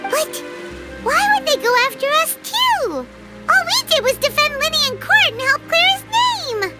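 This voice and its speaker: Tiny game fairy sidekick, extremely high squeaky childlike voice, swooping and chatty, music behind. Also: female voice, measured pace, full character voice. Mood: worried, puzzled. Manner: childlike, expressive, speaks of self in third person. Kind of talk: video game cutscene voice acting.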